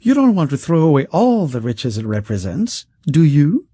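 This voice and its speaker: A man voicing a smooth, scheming rich male wizard who talks in a silky, condescending way. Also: a little high, very animated, normal speed, mellow, no echo, voice pushed up.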